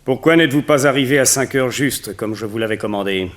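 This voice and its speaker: An actor playing a cold, domineering villain who commands and interrogates in a 1960s radio drama. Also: male voice, mid-range voice, brisk, lightly acted. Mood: imperious, menacing. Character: cold domineering male villain, a hypnotist master giving orders.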